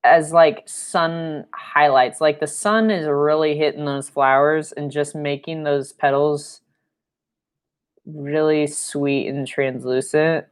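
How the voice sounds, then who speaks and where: A young woman with a low voice, leading a live-stream painting lesson at home, chatty and rambling with fillers, recorded by a fixed camera mic in a room. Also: fairly even intonation, slow pace, clean tone. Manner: rambling and chatty, with fillers and playful sound effects. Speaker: painting instructor. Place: home studio room, indoors.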